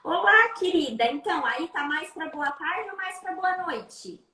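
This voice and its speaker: A Brazilian woman lawyer hosting a live stream, chatting and warmly greeting viewers by name. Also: very high-pitched, animated, unhurried, heavy reverb.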